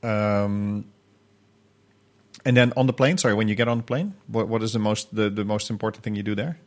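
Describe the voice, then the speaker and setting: A man with a lowish voice who interviews a guest. He asks long questions in a loose, chatty way and rambles before getting to the point. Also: fairly quick. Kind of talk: casual interview.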